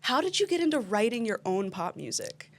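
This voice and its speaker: A woman's voice: fairly high pitch, very animated, a little fast. A young woman hosting a casual interview, enthusiastic and gushing, laughing often. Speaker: show host.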